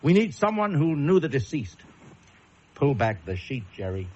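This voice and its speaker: An actor playing a plain-spoken, courteous police officer with a folksy, hesitant manner in a suspense radio drama. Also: male voice, on the high side, big pitch swings, moderate pace, heavy background noise. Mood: solemn, apologetic. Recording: muffled radio recording, music behind.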